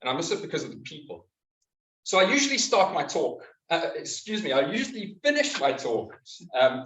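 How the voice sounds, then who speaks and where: South African man presenting to a live audience, slightly high voice, distant mic in a roomy hall. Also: a bit bright. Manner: chatty, earnest, with frequent ums and restarts. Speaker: health practitioner giving a presentation. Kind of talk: community talk to a live audience. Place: community hall. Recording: echoey hall, speaker far from the mic.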